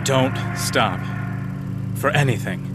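A man's voice: mid-range voice, expressive, takes it slowly, loud music behind the voice. A man playing a stern, dutiful roadblock officer in an audio drama, firm, curt and official.

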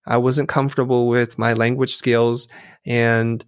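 A man's voice: mid-range voice, little pitch movement, a little slow, clear, resonant tone, no room sound, clean studio sound. A middle-aged man whose first language is Vietnamese, telling stories earnestly and thoughtfully as an interview guest, often restarting his sentences. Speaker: interview guest, designer and teacher. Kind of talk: reflective storytelling in conversation.

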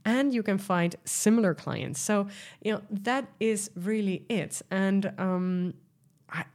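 A woman's voice: mid-range voice, darkish tone. A woman from the Netherlands with a Dutch accent, hosting a solo podcast and talking through sales tips in a chatty, enthusiastic way with many fillers and restarts. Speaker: podcast host and sales coach.

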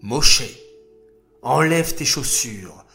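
A man voicing God in a children's story, solemn, calm and commanding, speaking slowly and deliberately. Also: medium pitch, vocal fry, faint music behind, exaggerated voice. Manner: slow, grave, deliberate.

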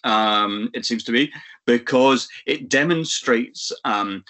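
A man giving a measured, analytical answer, pausing often with fillers and restarts. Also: mid-range voice, bright, forceful tone. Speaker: guest expert. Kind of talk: analytical discussion.

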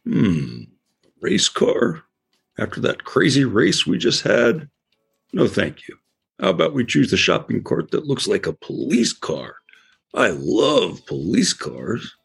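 A man voicing a sensible, patient male elephant in a children's cartoon, explaining things calmly. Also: mid-range voice, slow, creaky, husky, full character voice. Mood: bargaining. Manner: patient, explaining, mildly fussy.

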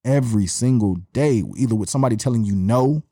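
Man, Atlanta Southern accent, middle-aged, slangy storyteller, mellow tone, dry sound. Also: medium pitch, fast, no echo. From Atlanta, USA. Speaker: podcast guest, music marketer. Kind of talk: casual storytelling chat.

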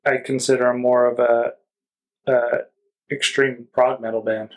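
A man's voice: mid-range voice, little pitch movement, unhurried. A man reviewing music in a casual podcast chat, speaking informally and feeling his way through descriptions.

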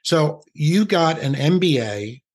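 A man's voice: on the high side, animated, slow, slightly rough. A man from New Jersey hosting a podcast interview, friendly and joking, asking long, rambling questions. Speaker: podcast host.

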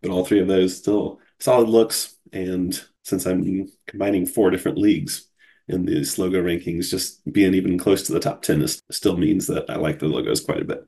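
Man, podcast host, from Cincinnati, gravelly low voice, groggy from a cold, easygoing solo chat. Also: steady tone, normal speed, dark tone. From Cincinnati, USA. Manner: casual, chatty, easygoing.

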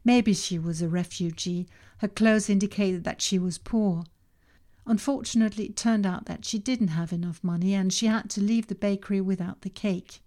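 A woman whose first language is Danish, reading her own self-help podcast in a calm, measured way with slow emphasis on key words. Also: medium pitch. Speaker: podcast host and author. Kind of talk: scripted self-help narration.

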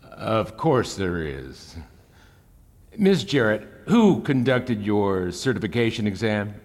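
A man's voice: fairly low pitch, big pitch swings, slow pace, a little mellow, heavily acted. A man playing a smug, probing senator in an audio drama, formal, pointed and elaborately polite. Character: smug, probing male senator questioning a witness. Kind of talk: fiction podcast audio drama. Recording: echoey hall with long reverb.

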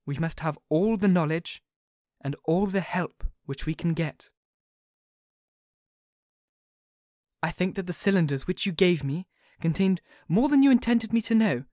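A male narrator putting on a young woman in an audiobook, grieving yet resolute, who speaks with soft earnest warmth. Her voice sits clearly higher than the narrator's own. The sound is dry and close. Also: no echo.

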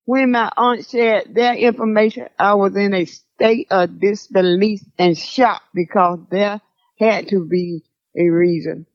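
A woman's voice: mid-range voice, fairly even intonation, measured pace, no room sound, lightly acted. A woman playing a reflective older grandmother, muffled. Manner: reflective, formal wording. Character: troubled grandmother recalling her mother's death. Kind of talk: audio drama dialogue.

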